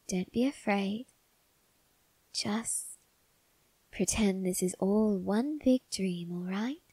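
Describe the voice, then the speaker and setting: A woman voicing a suave male vampire husband in an ASMR roleplay, coaxing softly and often whispering with quiet menace. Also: mid-range voice, dry recording, poor audio. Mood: soothing, sinister. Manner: slow, soft, breathy coaxing, often whispered.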